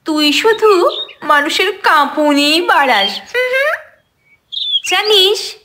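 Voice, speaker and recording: Woman as a sassy, high-voiced cartoon teacup. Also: lively intonation, sharp tone, full character voice. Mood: miffed, proud. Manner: scolding, teasing, drawn-out emphasis. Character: sassy, proud female cup of tea, bickering sweetly. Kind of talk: cartoon audio comedy dialogue.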